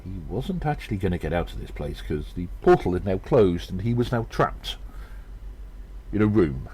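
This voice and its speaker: Gnome adventurer narrator, played by a man, very low register, deliberate pauses, audio drama, slight background noise. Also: big pitch swings, dark tone. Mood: measured, grave.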